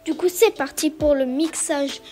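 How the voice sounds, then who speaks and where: A woman's voice: sits high, a little slow, warm tone, soft background music. A woman talking casually and informally, like a guest in a video challenge. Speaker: guest musician in a timed song challenge. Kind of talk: casual chat. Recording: roomy, echoing sound.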